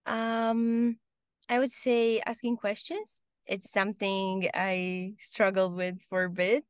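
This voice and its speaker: A young woman from Romania with a Romanian accent, answering interview questions casually on a video call, often pausing with ums and restarting sentences. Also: medium pitch, level tone, clean tone, no echo, clean studio sound. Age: young adult. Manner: hesitant, many ums and restarts. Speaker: guest. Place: home room, video call.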